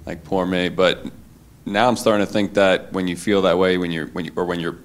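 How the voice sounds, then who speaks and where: A man's voice: low voice, flat delivery, brisk. A man in a church group reflecting aloud, thoughtful with hedging fillers. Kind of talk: reflective casual talk.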